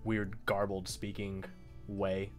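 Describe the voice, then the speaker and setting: A man's voice: fairly low pitch, monotone, soft background music. A young man talking casually over a video call, stumbling and restarting his sentences. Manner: stuttering, halting restarts. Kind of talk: casual live-stream chat. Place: small room at home.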